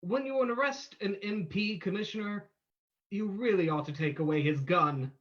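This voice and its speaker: A man playing a composed, shrewd gentleman detective with a dry, decisive manner in an old-time radio play. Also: high voice, normal speed, dull audio, close to an everyday voice.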